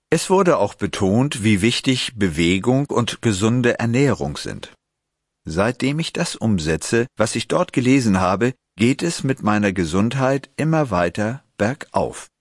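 A man reading a magazine article aloud in a measured, scripted way and posing interview questions. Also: fairly low pitch, dry recording, clean studio sound. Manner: measured, even, clear read. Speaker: narrator and interviewer.

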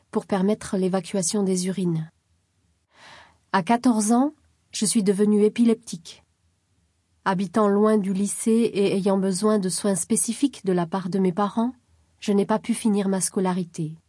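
Woman narrator, warm low-key tone, slightly breathy, dry close recording, measured scripted delivery. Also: dry recording. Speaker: reader of a first-person article. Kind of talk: scripted first-person narration.

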